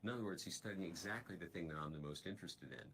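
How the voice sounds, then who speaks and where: A man in his fifties, a diversity trainer, giving a measured, explanatory scripted course narration. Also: low-pitched, slight background noise. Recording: loud guitar music bed. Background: guitar music.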